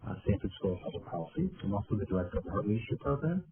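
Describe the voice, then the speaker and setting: Low-voiced professor on a tinny line. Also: male voice, big pitch swings, racing, a loud crowd. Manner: thoughtful, filler-heavy. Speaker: history professor. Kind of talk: interview self-introduction. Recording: tinny phone line with faint noise.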